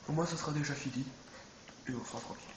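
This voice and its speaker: A man's voice: fairly high pitch, fairly bright tone. A man with a head cold, chatting casually and rambling as he plays a video game, often correcting himself. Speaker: let's play gamer. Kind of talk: casual gameplay commentary. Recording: echoey room with background noise.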